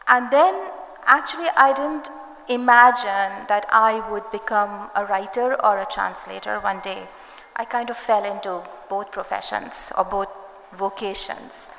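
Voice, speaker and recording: A woman's voice: medium pitch, steady tone, a little slow, vocal fry, pushed and bright. An older woman, a children's author and translator whose first language is Hindi, speaks reflectively and personally on a festival panel. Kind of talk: panel discussion answer. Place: literary festival stage. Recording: echoey hall with faint ambient noise.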